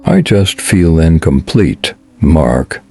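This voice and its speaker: A man's voice: rumbling low, lively intonation, slow pace, vocal fry, darkish tone, exaggerated voice. A man voicing a heartbroken young woman in a storybook-style audio drama. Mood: sad.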